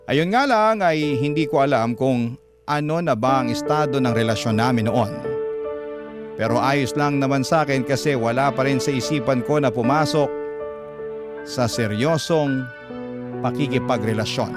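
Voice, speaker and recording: A man's voice: mid-range voice, normal speed, loud music behind the voice. A man with a Filipino accent narrating a scripted first-person story as a radio host in classic storytelling style.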